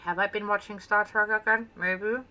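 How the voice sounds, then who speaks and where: A woman's voice: medium pitch, fairly even intonation, normal speed, bright, forceful tone. A woman with a Danish accent, a native Danish speaker, chatting casually and playfully as she shows off her build in gameplay commentary. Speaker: gaming channel host.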